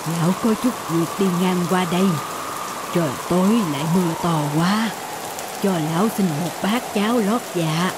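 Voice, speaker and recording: A woman's voice: fairly low pitch, normal speed, heavy background noise, natural voice. Woman voicing an old beggar man immortal. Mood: humble pleading. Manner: humble and pleading, later calm and solemn. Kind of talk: cartoon voice acting. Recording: loud background music.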